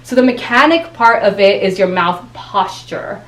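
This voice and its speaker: A woman with a Japanese accent, a native Japanese speaker, explains voice technique at a mid pitch in a patient teaching tone. Her speech circles back and restarts as she builds each point. The recording has a roomy echo. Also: lively intonation, normal speed, fairly bright tone. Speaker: voice and speech coach guest. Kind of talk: conversational interview. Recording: echoey room.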